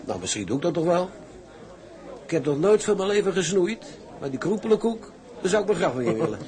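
An actor playing a carefree, blunt old shipmate in dramatic dialogue, with a jaunty way of talking. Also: male voice, high voice, very animated, fairly quick, darkish tone, a faint crowd. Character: breezy, blunt seaman, the captain's carefree old comrade. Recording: music behind the voice.

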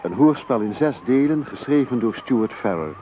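A man announcing a scripted radio drama title in a deliberate way. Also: medium pitch, expressive, slight background noise. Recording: thin, phone-like radio recording.

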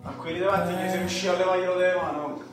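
A man's voice: sits high, flat delivery, very fast, distant mic, close to an everyday voice. Actor doing haunted roman street guy, agitated n intense, very echoey, guitar music behind, radio drama. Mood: feverish, haunted. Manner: intense, colloquial, swinging between murmurs and shouts. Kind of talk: radio theatre monologue.

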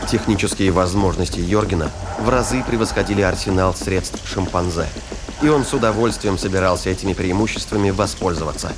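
A man's voice: low voice, brisk, background noise. A man narrating a science fiction audio drama in a scripted storytelling style. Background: ambience and sound effects.

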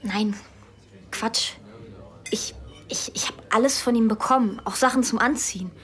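A woman's voice: fairly high pitch, a little slow, close to an everyday voice. A woman playing a guarded, terse young Pakistani girl in a radio drama.

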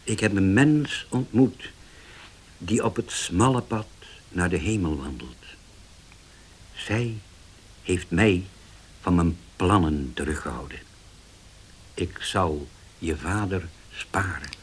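An actor in a Dutch radio play as a scheming older uncle, husky, halting and condescending. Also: male voice, deep voice, expressive, full character voice. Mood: solemn, menacing. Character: scheming older uncle, pious-sounding, domineering and deliberate.

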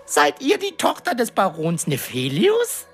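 An actress playing a small, fat, fawning demon servant with pompous, flowery courtly speech in a radio drama. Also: female voice, mid-range voice, wildly swooping pitch, measured pace, soft background music. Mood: fawning, nostalgic. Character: small fat warty green demon servant, fawning, cheeky, self-important.

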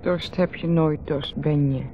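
An actress with a Netherlands Dutch accent playing a dreamy, teasing wife who rambles and repeats herself in a radio drama. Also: female voice, sits low, steady tone, a little slow, a little hiss or hum. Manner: rambling, repetitive, musing. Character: dreamy, rambling, teasing wife, drinking under a full moon. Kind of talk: 1970s radio drama acting. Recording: dull old radio recording with music.

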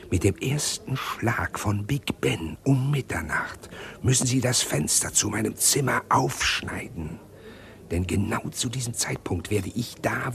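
An actor playing a scheming, respectable embezzler in a radio crime drama, laying out a plan in a precise, insistent, often hushed way. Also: male voice, medium pitch, creaky. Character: scheming respectable male embezzler plotting a fake burglary.

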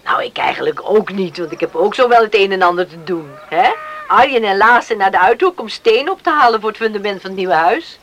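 An actress playing a brisk, hospitable farm woman in a Dutch radio play, coaxing and practical. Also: medium pitch, lively intonation, fairly bright tone, a little hiss or hum. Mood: friendly, coaxing.

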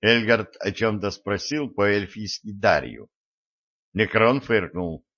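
A man's voice: lowish voice, slow, a bit bright. A man narrating an audiobook, reading the dialogue with small shifts for each character. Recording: dull lo-fi audio.

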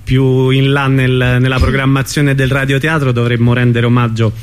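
A man hosting a radio interview, putting his questions in a hesitant, halting way. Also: medium pitch, completely flat delivery, clear, resonant tone. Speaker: radio host interviewing a guest.

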